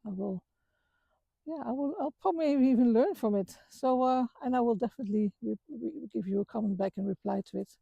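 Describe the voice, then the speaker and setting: Dutch woman rambling thoughtfully, kinda gravelly and husky, dark mellow tone. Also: on the high side, dry recording, dull audio. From Netherlands. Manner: earnest, halting, with many restarts. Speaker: vlogger. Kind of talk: reflective personal talk. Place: outdoors on a walk.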